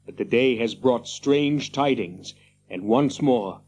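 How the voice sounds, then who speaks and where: An actor playing a noble, grave lord of horsemen in a radio fantasy drama, speaking in stately, formal phrases. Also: male voice, mid-range voice, slow pace, a little mellow, lightly acted. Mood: solemn, relieved. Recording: muffled recording with faint noise.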